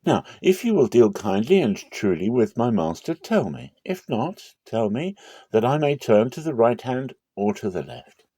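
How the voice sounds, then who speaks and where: Guy voicing devout bible servant telling his story, earnest and measured, mellow dry sound. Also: mid-range voice, big pitch swings, husky, no room sound, voice pushed up. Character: Abraham's earnest, devout male servant recounting his errand.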